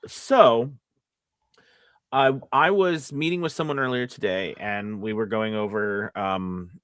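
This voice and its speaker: A man co-hosting a casual livestream as a voice actor, talking in a rambling, halting way and often correcting himself. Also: on the low side, fairly bright tone, clean tone, no echo, studio quality.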